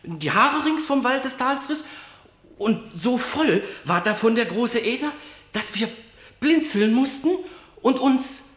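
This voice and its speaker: A man's voice: very high-pitched, expressive, a little slow, a bit bright, roomy sound, heavily acted. A male actor playing an agitated guard who reports to his king in declamatory verse, in a radio play. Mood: excited.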